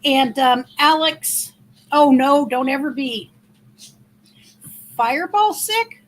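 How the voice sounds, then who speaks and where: A middle-aged woman with a big, loud voice, hosting a casual livestream in a chatty, rambling way and thanking viewers by name. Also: sits high, low-quality recording. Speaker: livestream host.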